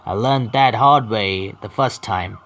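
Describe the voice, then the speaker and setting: A man hosting a gaming video, reading story text aloud haltingly with stumbles and adding casual asides. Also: lowish voice, a bit bright. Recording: faint game music behind. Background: faint video game music.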